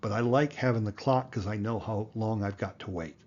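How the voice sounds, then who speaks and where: A man's voice: lowish voice, a little fast, darkish tone, dull audio. A man narrating an explanatory tutorial as an instructor, plain-spoken and going through things step by step. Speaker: amateur radio instructor, video host.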